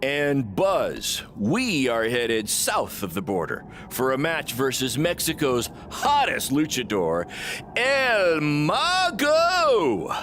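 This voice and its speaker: An excitable, scheming wrestling promoter in a game cutscene. The delivery is upbeat salesman patter in a higher voice that swings widely, full of dramatic flourishes, over faint noise. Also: slow, heavily acted. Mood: pitching. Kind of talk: video game cutscene acting.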